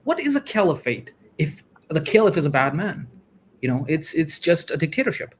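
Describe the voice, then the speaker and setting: Brisk, emphatic man debating on a livestream. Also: on the high side, sing-song, slight background noise. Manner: quick, emphatic, argumentative. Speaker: livestream guest. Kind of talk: casual discussion.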